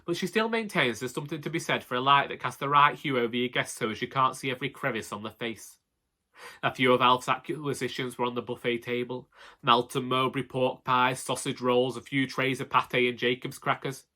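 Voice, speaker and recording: A man's voice: mid-range voice, fairly quick, pushed and bright. A man with a Northern English accent narrating a comic first-person story in a wry, colloquial way. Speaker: audiobook narrator.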